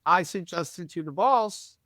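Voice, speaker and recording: A woman with a deep voice, chatting as a guest on a podcast and telling stories in a friendly, talkative way. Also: lively intonation, slow, a bit bright, no room sound, clean studio sound. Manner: chatty, tells anecdotes freely. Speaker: shop staff member and guest. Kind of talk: casual podcast chat.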